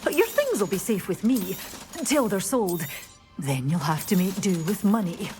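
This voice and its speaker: An actress with a British accent voicing a flustered, chatty woman shopkeeper in a video game, fussy and effusive. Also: mid-range voice. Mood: pleased. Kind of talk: video game character dialogue.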